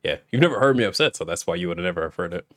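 A man's voice: deep voice, animated, very fast. A man hosting a podcast, chatting casually with a guest and laughing often. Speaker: podcast host.

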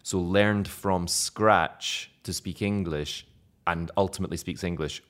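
A man's voice: sits low, fairly even intonation, unhurried, fairly bright tone. A young Scottish man, an actor being interviewed, chatting casually and self-deprecatingly, laughing often. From Scotland.

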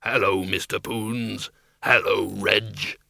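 A huge, hairy bogeyman from a storybook, played by a man. The voice is low and takes its time, easygoing and eager to please. He sounds like an amiable giant who has just found his confidence. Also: fairly even intonation, takes it slowly, creaky, sharp tone, no room sound, clean studio sound, heavily acted. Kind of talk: audiobook character voice.

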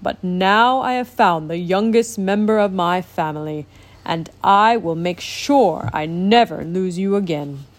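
A woman voicing a stern old male sea king, speaking slowly and commandingly in a lowered, louder voice. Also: natural voice. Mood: stately. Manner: slow and commanding.

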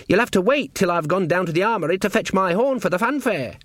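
A man's voice: high-pitched, lively intonation, quick pace, a bit bright, dry recording, studio quality, voice pushed up. A man as an earnest, humble young servant lad. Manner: deferential, rustic grammar, reasonable.

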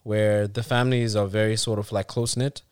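Man, from Africa, lowish voice, podcast co-host, long explanations with restarts, dry close mic. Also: little pitch movement, fairly quick, smooth, no echo. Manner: explains at length with frequent restarts and "you know". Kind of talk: casual podcast discussion.

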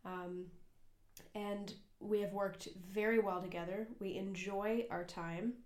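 An American woman in her young adult years chats earnestly on a podcast, meandering through her points with lots of "um"s and self-corrections. Her voice sits in an ordinary middle pitch and carries a roomy echo from the space she records in. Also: fairly even intonation, takes it slowly. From United States. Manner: earnest, rambling with many fillers and restarts. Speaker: podcast co-host and cafe worker. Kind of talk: casual conversational podcast. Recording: echoey room.

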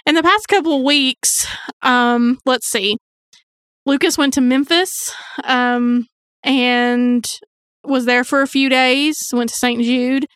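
A woman's voice: high-pitched, fairly even intonation, takes it slowly, fairly bright tone, smooth, no echo, studio quality. A woman co-hosting a podcast, chatting casually and informally with wry banter. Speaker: podcast co-host. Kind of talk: casual true crime podcast chat.

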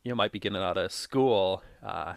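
A man's voice: deep voice, moderate pace, vocal fry, no room sound, clean studio sound. A young man co-hosting a podcast, chatting casually and drifting into tangents with frequent laughs. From Minnesota. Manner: rambling, tangent-prone, laughs often. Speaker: podcast co-host. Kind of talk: casual chat.

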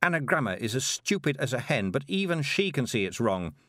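A man's voice: mid-range voice, big pitch swings, a little fast, sharp tone, no room sound, studio quality, pitched above the performer's own voice. A man voicing a headstrong young girl witch, impatient and scornful. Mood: defiant.

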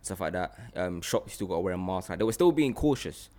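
A young man talks in casual podcast style. He is opinionated and animated, often repeating phrases to drive a point home. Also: low voice, fast talker. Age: young adult. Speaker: podcast host.

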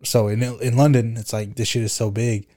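A man's voice: mid-range voice, little pitch movement, normal speed, dark tone. A young man co-hosting a podcast, chatting casually in a slangy, laid-back way with plenty of swearing. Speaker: podcast co-host. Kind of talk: casual chat.

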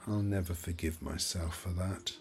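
A man's voice: deep voice, fairly even intonation, normal speed, darkish tone, soft background music. An actor playing an irritable, grieving elderly father in an audio play, emotional, shifting between snappish and wistful, weighed down by guilt. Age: older.

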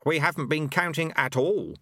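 Man doing a high-voiced wry old monk. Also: normal speed, dry recording. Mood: mild, wry. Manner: sage, deadpan, quoting proverbs. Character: wry, serene old monk, slyly sage.

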